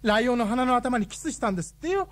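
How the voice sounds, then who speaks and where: Actress as radio-comedy prankster: campy, teasing, fairly high, swooping intonation. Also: female voice, animated, very fast, close to an everyday voice. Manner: playful, teasing. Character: cheeky, campy, mischievous joker of the team. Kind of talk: comic radio drama acting.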